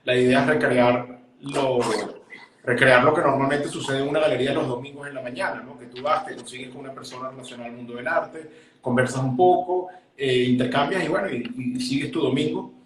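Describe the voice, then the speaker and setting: A man's voice: mid-range voice, a little hiss or hum. A man hosting a casual live-stream chat in a mid-range voice, sounding friendly and loose with a joking edge. His voice carries a noticeable roomy echo, as if he is speaking in a bare room. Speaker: host. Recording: echoey room.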